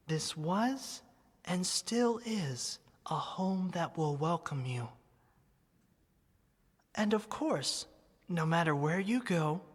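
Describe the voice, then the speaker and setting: A tender, wistful teddy bear played by a woman speaks in an ASMR roleplay with a soft, slightly low voice. The delivery is very unhurried and sentimental, like a loving childhood friend. Long reverb surrounds every word. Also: exaggerated voice. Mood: tender, reassuring. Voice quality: soft, breathy.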